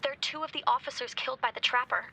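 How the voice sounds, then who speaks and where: A woman's voice: sits high, fairly even intonation, fast talker, bright, forceful tone, husky, full character voice. An actress playing an insistent, businesslike woman investigator over the phone, pointed and probing, in a video game drama. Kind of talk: naturalistic video game acting.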